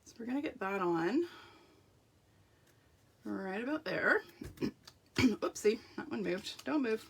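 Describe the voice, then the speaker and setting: Woman, middle-aged, croaky throat; chatty, self-deprecating crafter hosting a live stream, works as she talks. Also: a little high, lively intonation, slow, fairly bright tone, a little hiss or hum. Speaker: card-making demonstrator. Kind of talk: live craft tutorial with viewer shout-outs.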